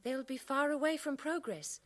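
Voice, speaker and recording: A woman's voice: high-pitched, moderate pace, close to an everyday voice. A woman playing a sweet, thoughtful young village bride-to-be in a radio drama. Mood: concerned. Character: young village bride-to-be, sweet but anxious about progress.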